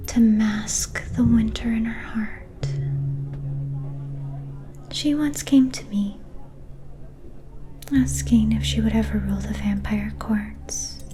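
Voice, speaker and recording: A woman playing an enigmatic, all-knowing vampire seer in a whispered ASMR roleplay, calm and ominous, speaking in cryptic, deliberate phrases with long pauses. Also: fairly high pitch. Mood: quietly scornful. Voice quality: breathy, unvoiced whisper.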